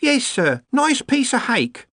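A worried Victorian household cook from a storybook, as a male reader puts her on. She is a meek, deferential woman with a high, swooping voice and plain servant's grammar. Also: wildly swooping pitch, takes it slowly, a bit bright, no echo, studio quality, full character voice.

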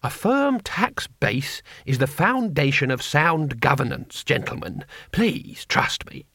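A polite, bumbling old man, acted by a male narrator, slow and halting as he gropes for each word. His pitch swings in a lively way, dry and close, in fantasy audiobook style. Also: dry recording, heavily acted. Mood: reasoning. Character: courteous, bumbling goat-faced old man, stumbling foreign speaker.

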